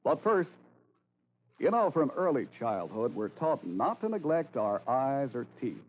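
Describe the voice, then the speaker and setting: A man's voice: mid-range voice, very animated, unhurried. A man announcing in a formal, polished 1940s radio style, reading scripted narration and sales copy. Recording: tinny old radio broadcast recording.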